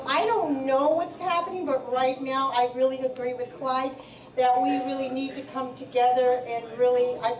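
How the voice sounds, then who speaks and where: A woman speaking to a live crowd, her voice a little higher than most. She talks in a chatty, halting way with lots of ums. The room is echoey, she is heard from a distance, and a crowd is clearly audible around her. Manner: chatty, hesitant, many fillers. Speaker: psychic medium and radio guest. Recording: echoey venue, distant mic, crowd behind.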